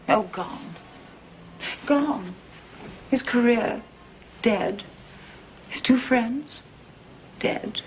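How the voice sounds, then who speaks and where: Slow, gossipy woman, higher voice, faint ambience. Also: a little hiss or hum, low-quality recording.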